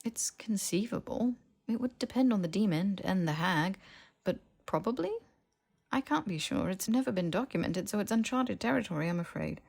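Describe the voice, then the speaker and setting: A woman voicing a brisk, bookish, sceptical young woman in an audiobook, explaining and questioning with precision. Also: medium pitch, expressive, gravelly, a little mellow. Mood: thoughtful, explaining. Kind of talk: audiobook character dialogue.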